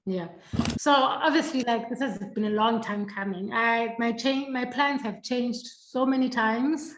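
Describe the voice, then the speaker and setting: A woman in her thirties from South Africa, a guest telling her story in a reflective, earnest way with frequent pauses. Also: on the high side, a bit bright, roomy sound, low-quality recording. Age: 30s. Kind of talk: casual interview talk.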